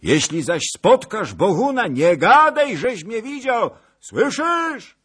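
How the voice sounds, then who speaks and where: A man's voice: a bass voice, lively intonation, slow, breathy edge, heavily acted. A man voicing a blustering older nobleman in a historical storybook, exclaiming and grumbling in colourful language. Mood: urgent warning.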